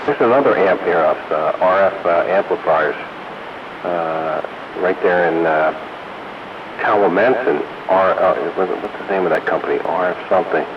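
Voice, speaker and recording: A man's voice: low-pitched, normal speed. A man chatting casually with fellow hobbyists over a CB radio. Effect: CB radio transmission. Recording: muffled CB radio with clear hiss.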